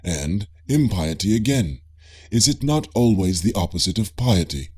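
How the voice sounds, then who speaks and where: A man's voice: lowish voice, animated, normal speed, a little mellow, breathy edge. A man voicing an inquisitive, mock-humble ancient Greek philosopher, formal and earnest, in an audiobook dialogue. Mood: earnest, questioning.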